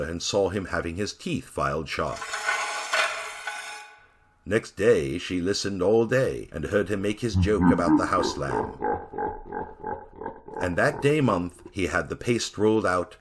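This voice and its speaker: A man narrating a dark tale in a theatrical, expressive storytelling reading with grim relish. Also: sits low, background noise.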